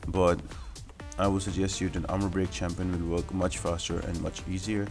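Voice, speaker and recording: A man's voice: sits low, level tone, normal speed, music in the background. A man giving instructional, explanatory commentary as the host of a video game guide.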